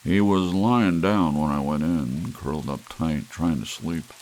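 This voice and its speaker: A man's voice: a bass voice, measured pace, a little mellow. A man voicing an anxious, shaken lawyer while narrating an audiobook. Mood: uneasy. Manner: anxious, pleading. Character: nervous, shaken male lawyer, adult.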